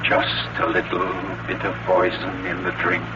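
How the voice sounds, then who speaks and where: An actor playing a sinister undead poisoner, ominous and hypnotic, in a 1940s radio horror play. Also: male voice, deep voice, completely flat delivery, a little slow. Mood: ominous coaxing. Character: sinister undead male poisoner, coaxing and commanding. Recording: muffled, noisy 1940s radio recording.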